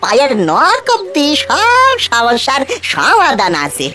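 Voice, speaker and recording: Excitable, squeaky young ghost. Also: female voice, slow, full character voice. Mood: puzzled, scheming. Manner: stammering, excitable. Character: eager, greedy, bumbling young ghost. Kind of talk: children's cartoon voice acting. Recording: music behind the voice.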